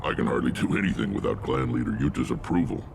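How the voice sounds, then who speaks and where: A battle-worn alien clan chief from a sci-fi game. The voice is rumbling and extremely deep, thick with gravel. He speaks bluntly and with command, over clearly audible background noise. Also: lively intonation, quick pace, heavily acted. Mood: grave, resolute. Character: gruff, battle-worn alien warlord, a visionary clan leader. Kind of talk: video game character acting.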